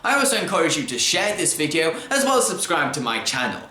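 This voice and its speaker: A man narrating a scripted video film review in an enthusiastic, expressive manner. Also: on the high side, fast talker. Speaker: film reviewer and show host. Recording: roomy, echoey voice track.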